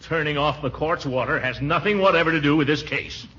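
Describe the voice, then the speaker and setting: An actor playing a self-important, easily indignant middle-aged uncle and town official in 1940s radio comedy style, pompous and sputtering. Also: male voice, fairly high pitch, brisk, bright, forceful tone. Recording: muffled, hissy 1940s radio broadcast.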